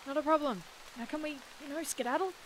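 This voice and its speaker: An actress playing an irreverent, skeptical and wary young woman adventurer in an audio drama, talking in a casual, sardonic, expressive way. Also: high-pitched, fast talker, a little hiss or hum, lightly acted, low-quality recording. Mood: urgent.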